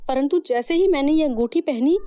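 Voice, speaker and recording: A vain, jealous young girl, put on by a woman narrator, pitched high. She is whiny and dramatic in storybook dialogue. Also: expressive, brisk, darkish tone. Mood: anguished pleading. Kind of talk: acted children's fairy-tale dialogue.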